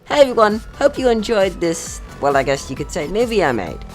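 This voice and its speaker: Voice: medium pitch, very animated, fairly quick, soft background music. A young person narrating a scripted story in a mock-serious way. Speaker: video creator narrating own short film.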